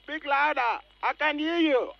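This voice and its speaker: A brusque man on a long-distance call in a radio play. His voice is strikingly high and comes through a dull telephone line. He speaks curtly. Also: unhurried, vocal fry, exaggerated voice. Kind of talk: radio drama phone dialogue.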